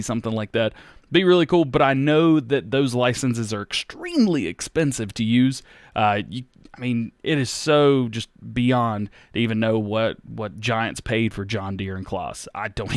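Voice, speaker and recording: An American man in his thirties, hosting a casual Q&A on his gaming channel, reading out viewers' questions and answering in a relaxed, rambling way. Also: mid-range voice, clean tone, dry recording, clean studio sound. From United States. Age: 30s. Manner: relaxed and rambling, with many fillers and restarts. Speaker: YouTube gaming host.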